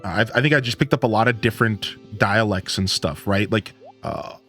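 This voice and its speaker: A man's voice: on the low side, moderate pace, fairly bright tone, faint music behind. A man from Ohio with a Midwestern twang, chatting casually with live-stream viewers in a rambling, laid-back way. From Northeast Ohio, USA.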